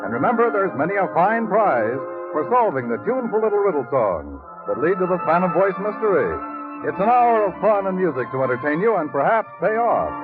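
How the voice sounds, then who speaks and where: A man's voice: sits high, big pitch swings, a little fast. Tinny old broadcast over music: male radio announcer, polished 1950s promotional delivery.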